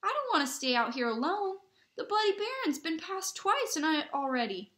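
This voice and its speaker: A woman's voice: on the high side, expressive, fast talker, a bit bright. A woman voicing a timid, anxious young schoolboy who pleads with his friends in a storybook reading. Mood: pleading.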